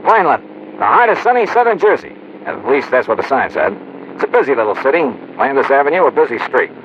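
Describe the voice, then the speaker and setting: A man playing a breezy, probing insurance investigator in an old American radio detective drama, crisp and wry. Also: medium pitch, very animated, fast talker, close to an everyday voice. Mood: brisk, wry. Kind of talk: 1950s radio detective drama, dialogue and first-person narration. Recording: dull, noisy old radio recording.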